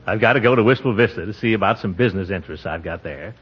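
A man's voice: lowish voice, racing, slightly rough. An actor playing a pompous, good-humored middle-aged man in a 1940s radio comedy, chuckling and musing aloud, on a muffled vintage recording. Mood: contented, musing. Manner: chuckling, talking aloud to himself.

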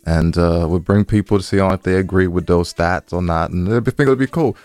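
A man's voice: low voice, a little fast, darkish tone, no room sound. A man co-hosting a podcast in casual, slangy talk, repeating phrases for emphasis, with music behind him. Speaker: podcast co-host.

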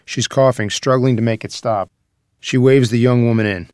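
A man's voice: mid-range voice, steady tone, normal speed, darkish tone, no echo, studio quality. A man narrating a screenplay's scene headings and action in scripted table-read style. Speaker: stage direction reader.

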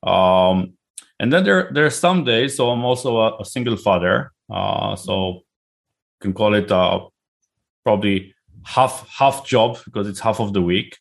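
A middle-aged man with an Israeli accent speaks in a lowish voice. He talks casually and at length as an interview guest, often stumbling over repeated words at the start of a thought. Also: measured pace, vocal fry. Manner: repeats words when starting, chatty and relaxed. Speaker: guest entrepreneur.

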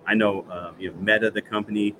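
A man's voice: lowish voice, flat delivery, quick pace, background noise. A man hosting a casual podcast interview, chatty and upbeat, asking friendly questions. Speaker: podcast host.

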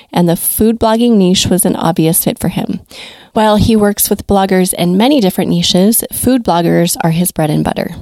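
A woman's voice: medium pitch, dark tone, no room sound, clean studio sound. A woman hosting a podcast, upbeat and encouraging, moving from a read intro into casual interview chat. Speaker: podcast host.

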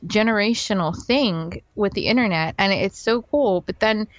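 A woman's voice: medium pitch, moderate pace, no room sound. Chatty young woman, muffled audio. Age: 20s. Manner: chatty and reflective. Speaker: podcast guest. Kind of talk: casual podcast chat. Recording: muffled lo-fi audio with faint music.

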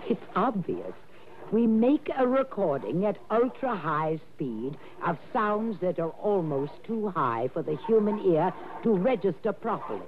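An actor playing a proud, doting and easily exasperated professor who trains dolphins, coaxing and insistent, in a 1960s radio drama. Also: male voice, high voice, sing-song, unhurried. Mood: impatient insistence. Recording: tinny, noisy old radio broadcast.

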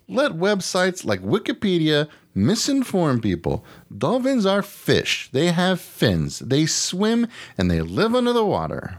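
A man with an American accent chatting casually as a comedic podcast co-host, exclamatory, slangy and profane. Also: on the high side.

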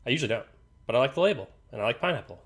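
A man's voice: medium pitch, animated, very fast, breathy edge. A young man co-hosting a casual podcast over beers, congested from a sinus infection, laid-back and laughing often. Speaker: podcast co-host.

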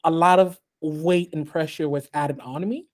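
A man born in Haiti and raised in the US, talking as a guest on a podcast interview in a measured way, with many ums and restarts. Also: on the high side, fairly even intonation, a little mellow. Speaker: guest artist.

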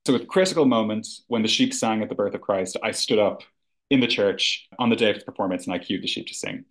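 A man with a fairly low voice talks as a guest on a theater podcast. He is earnest and reflective, and his sentences often restart with "you know.". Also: fast, fairly bright tone. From rural Ireland. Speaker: artistic director, guest. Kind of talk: conversational podcast interview.

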